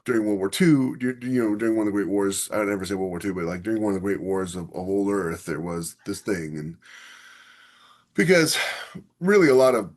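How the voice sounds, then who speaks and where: A man with a fairly low voice talks as a podcast co-host. His delivery is casual and conversational, and he argues his opinions with illustrative mock quotes. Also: a little fast, a little mellow. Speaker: podcast co-host and science fiction author.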